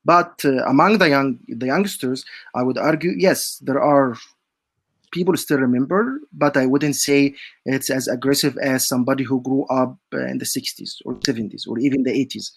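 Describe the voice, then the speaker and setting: A man's voice: medium pitch, moderate pace, vocal fry, no room sound. Young man, earnest step-by-step explaining, dry close sound. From Egypt. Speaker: guest. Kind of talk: podcast interview answers.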